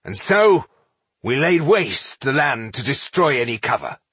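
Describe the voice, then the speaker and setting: Man voicing a haughty, callous dwarf warlord, pitched up. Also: expressive, a little slow, fairly bright tone, slightly rough, no echo, full character voice. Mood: self-righteous. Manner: haughty, dismissive. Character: proud, callous red-browed male dwarf warlord, self-appointed High King.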